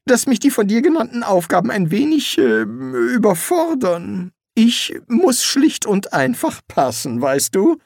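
A narrator voicing a nervous male wizard who bluffs as a demon, sarcastic and hesitant, with a strained high voice full of ums. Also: sing-song, unhurried, gravelly, few pauses, darkish tone, no echo, studio quality, full character voice. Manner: sarcastic, evasive, pleading. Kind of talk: audiobook reading.

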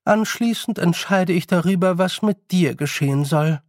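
A man's voice: high voice, normal speed, dry recording, studio quality, pitched above the performer's own voice, natural voice. A man voicing a haughty fairy queen in a storybook, condescending and sweetly menacing. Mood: cold, commanding. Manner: condescending, silkily threatening.

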